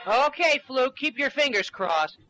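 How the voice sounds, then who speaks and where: A man voicing a squeaky-voiced young inventor who stammers and gets flustered, in a home-taped comedy sketch. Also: big pitch swings, unhurried, sharp tone, faint music behind, exaggerated voice, low-quality recording. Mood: eager. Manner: sputtering, stammering, easily flustered.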